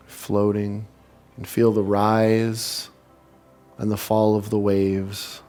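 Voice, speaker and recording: A man with a fairly low voice leads a guided meditation. He speaks in unhurried, soothing phrases and leaves long pauses between them. Music plays clearly behind his voice. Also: monotone, a little mellow. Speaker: meditation leader and spiritual speaker.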